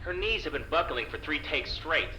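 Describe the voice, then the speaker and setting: A man playing a plain-spoken working-class security guard in a naturalistic audio drama, terse, hesitant and dry, reluctantly soft-hearted. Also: on the high side, expressive, normal speed, roomy sound, soft background music, low-quality recording. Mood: tense, concerned.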